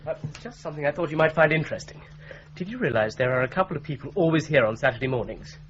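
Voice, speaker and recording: An eager, slightly hesitant young detective in an old radio play, talking fast in a fairly high voice. The sound is dull and lo-fi, with a faint hiss. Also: male voice, expressive, fairly bright tone.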